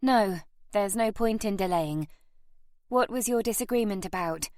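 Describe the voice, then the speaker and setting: A woman voicing a quiet, composed young bride-to-be in an audiobook, speaking briefly and plainly. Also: medium pitch, unhurried, no echo, studio quality, pitched above the performer's own voice, lightly acted. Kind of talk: audiobook character acting.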